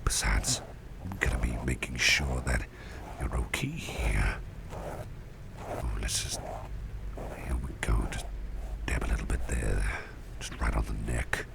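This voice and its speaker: A man playing a caring, gentle boss in an ASMR roleplay, murmuring tender reassurance in breathy whispers with a gravelly rumble. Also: expressive, a little slow, full character voice. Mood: soothing. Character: caring, gentle male boss soothing a cursed employee.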